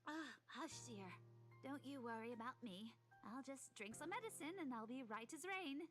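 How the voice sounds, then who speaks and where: A woman's voice: sits high, expressive, moderate pace, fairly bright tone. A woman voice-acting a teasing, caring, stubbornly cheerful young schoolteacher in a visual novel game. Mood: reassuring. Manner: playful, teasing. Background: game music.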